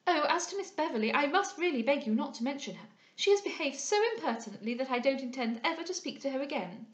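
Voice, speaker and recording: A woman's voice: high voice, expressive, quick pace, echoey room, pitched above the performer's own voice. A woman voicing a voluble, gossipy young society lady with gushing, indignant chatter in a period audiobook. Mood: indignant, huffy. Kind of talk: dramatised audiobook reading of a period novel.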